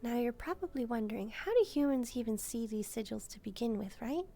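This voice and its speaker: A woman voicing a gentle, shy demon maid in a soft-spoken roleplay, speaking hesitantly as she muses aloud. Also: on the high side, animated, brisk, soft background music. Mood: musing, gentle. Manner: hesitant, timid, musing aloud. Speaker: roleplay video creator. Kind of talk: soft-spoken first-person roleplay, relaxing.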